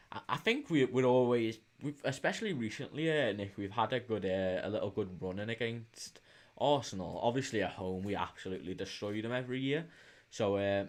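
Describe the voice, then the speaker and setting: Low-voiced Liverpool man hosting a livestream. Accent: Liverpool English. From England, Liverpool. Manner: hesitant, informal, reading out viewer comments. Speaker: livestream host. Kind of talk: casual live chat with viewers, football watch-along.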